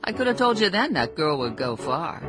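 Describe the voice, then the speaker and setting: An actress playing a gossipy, knowing society manicurist with an American accent and a folksy, emphatic, boastful manner in a radio drama. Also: female voice, sits low, big pitch swings, normal speed, over music. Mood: confiding, storytelling. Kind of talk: radio drama acting and storytelling.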